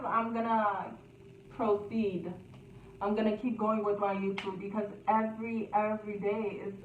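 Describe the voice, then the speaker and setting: A young woman telling a casual storytime vlog in a chatty, repetitive way, laughing at herself now and then. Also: mid-range voice. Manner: slow, chatty, repeats phrases, laughs at herself. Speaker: YouTuber. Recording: echoey, distant, phone-like, faint hiss.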